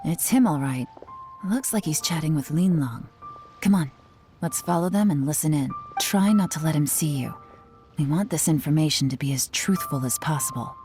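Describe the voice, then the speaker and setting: A woman voicing a cool, shrewd young intelligence chief in a video game, speaking in a measured, confident, instructive way. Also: deep voice, lively intonation, over music. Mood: discreet. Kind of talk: video game character voice acting.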